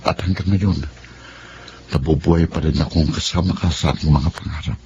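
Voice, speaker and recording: An actor playing a gentle, devoted suitor in a radio drama, speaking earnestly and tenderly. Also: male voice, a bass voice, fairly even intonation, moderate pace. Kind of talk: Tagalog radio drama acting. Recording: dull, faintly noisy.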